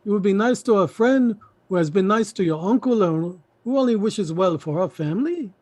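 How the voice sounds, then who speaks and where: An emphatic middle-aged uncle in a stage drama acted by a man, coaxing and indignant by turns. His pitch swings widely as he pleads and repeats himself, and the sound is dry and close. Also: animated, moderate pace, a little mellow, no echo. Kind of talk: theatrical stage play reading.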